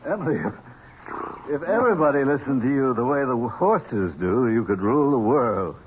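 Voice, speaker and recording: An actor playing a fond, wry uncle with a teasing, halting manner, in 1970s radio drama style. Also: male voice, medium pitch, expressive, natural voice. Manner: halting, stammering, dryly teasing. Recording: dull, noisy 1970s radio recording.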